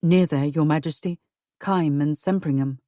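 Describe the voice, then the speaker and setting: Lady reading as a hushed tudor lord, deep and grave, worried husband. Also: normal speed, no room sound, clean studio sound, natural voice. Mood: deferential. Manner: low, hushed and solemn.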